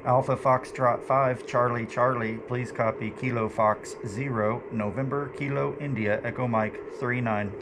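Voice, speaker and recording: A man in his forties operates a ham radio at home, talking in a clipped, procedural way. He is picked up by a camera in the room, with loud radio static and hiss all around him. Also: medium pitch, steady tone, measured pace, few pauses. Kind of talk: radio call signs in phonetic alphabet. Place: small living room, indoors. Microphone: camera a short distance away. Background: radio noise.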